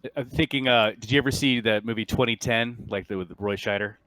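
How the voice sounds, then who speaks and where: A man working as game master, narrating a tabletop game in a casual, halting, conversational way. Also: medium pitch, a bit bright, poor audio.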